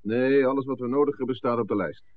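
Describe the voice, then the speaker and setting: An actor playing a protective, firm middle-aged father, brisk and reassuring, in a 1960s radio drama. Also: medium pitch, natural voice. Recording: tinny, faintly noisy 1960s radio recording.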